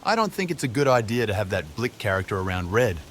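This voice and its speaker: A man's voice: fairly low pitch, big pitch swings, fast talker, slight background noise. A man voicing a stern, no-nonsense woman who speaks firmly. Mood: disapproving. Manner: stern, firm.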